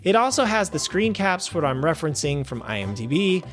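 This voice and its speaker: A man hosting a film review show, reading a scripted review with wry, animated asides. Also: a little high, brisk, soft background music. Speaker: film review podcast host.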